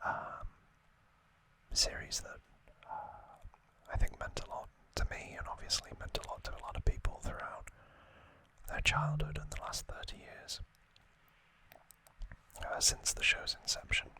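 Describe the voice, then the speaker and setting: A man hosting an ASMR video, whispering throughout as he explains things off the cuff, with thoughtful, hesitant pauses. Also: pushed and bright, rough recording. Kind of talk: whispered ASMR, unscripted explanatory unboxing talk.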